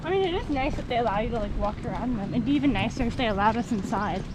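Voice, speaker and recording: A woman explaining things in a casual, matter-of-fact way while exploring outdoors. Her voice sits a little high. Clear outdoor ambience runs behind her on the camera recording. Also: expressive, racing. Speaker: vlogger exploring abandoned asylum grounds. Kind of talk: casual chat while walking. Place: outdoors on abandoned grounds. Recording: outdoor background noise.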